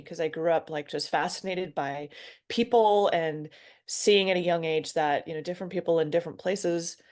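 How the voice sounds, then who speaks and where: A middle-aged American woman, a guest on a video call from a spare room, telling her story in casual conversation, chatty and quick to laugh at herself. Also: deep voice. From United States. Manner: chatty, self-deprecating, laughs easily.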